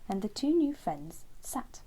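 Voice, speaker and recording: A woman narrating a bedtime story for children, gentle and expressive, with phrases often trailing into a hush. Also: mid-range voice, measured pace, breathy edge, low-quality recording.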